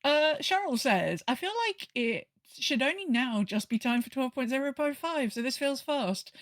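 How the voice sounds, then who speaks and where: A woman's voice: sits high, expressive, moderate pace, no room sound. A woman chatting casually as co-host of a gaming podcast.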